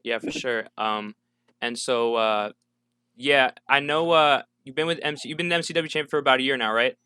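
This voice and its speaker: Podcast host guy, bright smooth voice, dry close mic, long winding questions. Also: medium pitch, moderate pace, no room sound. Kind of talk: casual interview conversation.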